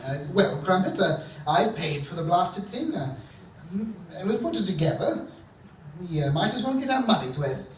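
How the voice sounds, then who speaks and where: Comic villain role male actor: blustering mad professor, fairly high voice, pompous and dithering, heard distantly in an echoey theatre. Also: sing-song, moderate pace, a little hiss or hum. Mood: flustered, blustering. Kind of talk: stage comedy radio play. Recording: echoey theatre stage, distant mic, faint music.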